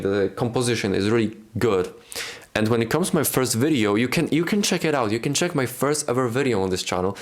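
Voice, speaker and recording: A young man from Poland with a Polish accent, talking to the camera in a casual vlog and filling his sentences with "like" and "you know". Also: fairly low pitch. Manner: rambling, many fillers like "you know" and "like". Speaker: YouTube creator. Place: indoors at home, speaking to camera. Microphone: camera mic, in front of camera.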